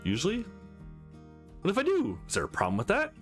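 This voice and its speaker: A man puts on a playful, competitive young game heroine, pitched up and swooping widely. The delivery is teasing and sing-song, like visual novel dialogue, with music underneath. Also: wildly swooping pitch, brisk, a bit bright, heavily acted. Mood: surprised, defensive. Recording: music playing behind the voice.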